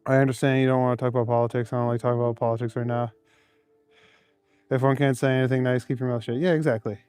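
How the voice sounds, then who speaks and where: A man's voice: mid-range voice, little pitch movement, fast, a little mellow, faint music behind. A man live streaming while out on cold city streets, reading chat aloud in an easygoing, chuckling, rambling way. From Canada.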